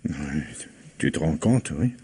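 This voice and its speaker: An actor playing a weak-willed, anxious and devoted husband in a vintage French radio mystery, pleading and hesitant. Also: male voice, deep voice, lively intonation, moderate pace, mellow, husky, full character voice. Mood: fearful.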